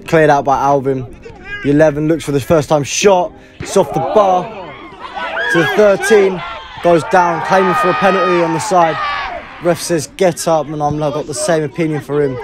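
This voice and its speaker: A man giving live amateur football play-by-play, excitable and prone to raising his voice at big moments. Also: on the high side, normal speed. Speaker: football match commentator. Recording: music and crowd noise behind, outdoors. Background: music and outdoor crowd sounds.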